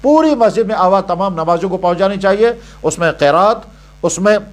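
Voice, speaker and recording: A man's voice: high voice, animated, brisk. A man giving religious guidance in a didactic, emphatic way, repeating points in parallel phrases. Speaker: Islamic scholar answering religious questions. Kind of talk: religious question-and-answer sermon.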